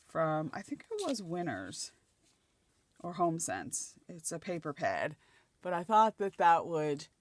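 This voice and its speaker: A middle-aged woman from Nova Scotia chats as she crafts, thinking aloud as she places each piece. Her voice is slightly croaky and dry. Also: low voice, slight background noise. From Nova Scotia, Canada. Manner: chatty, thinking aloud while gluing collage pieces. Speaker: craft channel host. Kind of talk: casual crafting chat.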